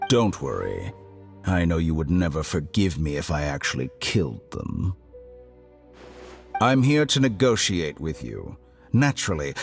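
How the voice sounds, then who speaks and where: A man playing a smug, menacing scientist villain in a video game, speaking in a theatrical, condescending, deliberate way. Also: sits low, gravelly, exaggerated voice. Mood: coolly condescending. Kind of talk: English video game voice acting.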